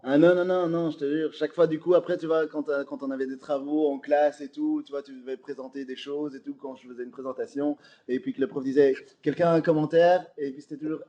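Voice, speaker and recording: Animated Belgian-accented man telling stories. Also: a little high, fast talker, dull audio. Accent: Belgian French. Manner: animated, laughs often, many fillers. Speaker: guest comedian. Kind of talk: casual podcast storytelling.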